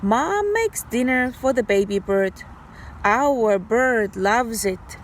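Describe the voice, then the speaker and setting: A woman teacher reading a story aloud to young children in an animated, deliberate, child-directed way. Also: a little high, a little hiss or hum. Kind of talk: expressive children's read-aloud.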